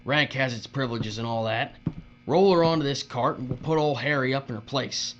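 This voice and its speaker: A man's voice: medium pitch, brisk, music in the background, close to an everyday voice. A man playing a cruel, leering bully boss in a dystopian audio drama, with a taunting drawl and folksy, uneducated grammar. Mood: gloating, bossy. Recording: muffled audio with steady mains hum.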